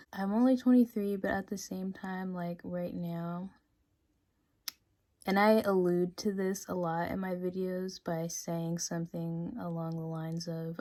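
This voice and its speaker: A woman in her twenties chatting casually in a personal vlog, full of "like" and "um", sometimes dropping to a soft, hushed tone. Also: lowish voice, no room sound, studio quality. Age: 20s. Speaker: vlogger. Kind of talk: casual confessional vlog chat.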